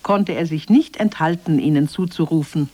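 A man's voice: a little high, normal speed, darkish tone. A man narrating a scripted children's radio fairy tale as its storyteller.

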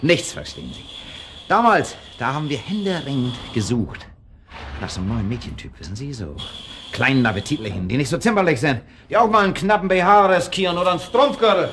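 An actor playing a worldly, condescending club man in a radio drama, rambling on and breaking off to hush his dog. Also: a little high, big pitch swings, a little fast, a little hiss or hum. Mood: smug, dismissive. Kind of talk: naturalistic German radio drama acting.

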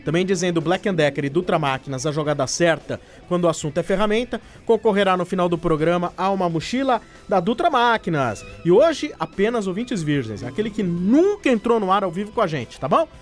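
Male radio host, São Paulo accent, high register, informal live-show delivery, light music underneath. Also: animated, a little fast, hardly any pauses. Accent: São Paulo Brazilian. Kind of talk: lively live radio banter and sponsor reads.

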